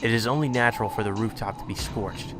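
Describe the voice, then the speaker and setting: A man's voice: on the low side, quick pace. A man reading visual novel narration aloud as a let's play narrator. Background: game music.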